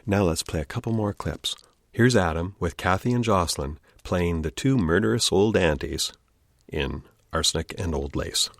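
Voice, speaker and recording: A man's voice: low-pitched, expressive, moderate pace, gravelly, no echo, studio quality. A man hosting a podcast in a casual, chatty narration. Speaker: podcast host.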